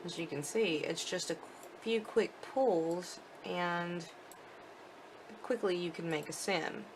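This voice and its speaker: A woman's voice: fairly low pitch, takes it slowly, background noise. A woman giving casual commentary on a game demo, thinking aloud informally as she goes. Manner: informal, thinking aloud while clicking through. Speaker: gaming video host.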